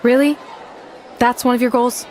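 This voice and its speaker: A woman's voice: fairly high pitch, flat delivery, moderate pace, a little mellow, some chatter behind, lightly acted. A woman playing a driven, serious young aspiring wrestler in a video game, earnest and no-nonsense. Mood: earnest, determined. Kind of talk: scripted video game cutscene acting. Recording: faint background noise.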